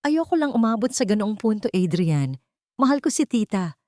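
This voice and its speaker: A woman playing a gentle, coaxing young fiancée who feigns concern in an audio romance drama. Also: medium pitch, very animated, normal speed, no room sound, clean studio sound, pitched above the performer's own voice, close to an everyday voice. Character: sweet-talking scheming young fiancée, falsely concerned.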